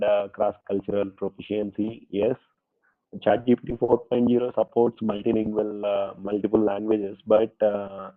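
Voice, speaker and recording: A man with an Indian English accent giving an online workshop lecture, a little under the weather with a cold, hesitant with many fillers and politely calling his listeners sir. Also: fairly low pitch. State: voice affected by fever and cold. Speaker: presenter at an online faculty workshop. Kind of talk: lecture presentation with Q&A. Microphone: online meeting audio. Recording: tinny phone-like online call audio.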